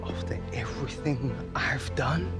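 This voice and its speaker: An actor playing a young, wounded footballer, speaking with indignation in a cinematic game drama. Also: male voice, mid-range voice, a little slow, loud music behind the voice, close to an everyday voice. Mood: angry, accusing. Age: young adult. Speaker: professional footballer. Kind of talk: cinematic video game acting.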